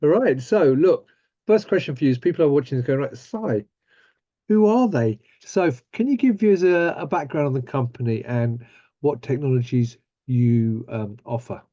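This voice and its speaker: A man's voice: medium pitch, expressive, normal speed, mellow, no echo, muffled recording. A man hosting a business interview, asking friendly, upbeat questions. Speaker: host. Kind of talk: business interview over video call.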